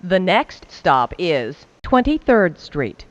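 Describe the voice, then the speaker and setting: Female, subway announcer, slow pace, varied intonation, scripted, carefully enunciated phrases. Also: on the low side, very animated. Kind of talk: scripted automated transit announcements.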